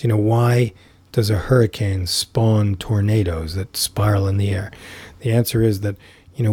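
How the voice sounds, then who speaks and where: Middle-aged male guest, warm and slightly husky, lowish voice, dry close mic, rambling storyteller. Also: dry recording. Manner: unhurried, thoughtful, many fillers and restarts. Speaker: podcast guest, tai chi teacher. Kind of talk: reflective storytelling in conversation.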